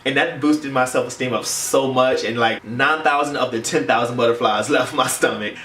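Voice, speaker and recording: A young American man telling a story in casual vlog chat, animated and acting out the dialogue as he goes. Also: medium pitch, normal speed, slight background noise. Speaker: vlogger. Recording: roomy echo, distant mic, faint music.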